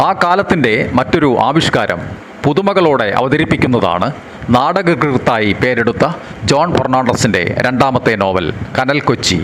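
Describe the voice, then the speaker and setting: A man reading a book review aloud in a measured, formal way, with faint background noise and the odd passing vehicle. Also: medium pitch, a bit bright.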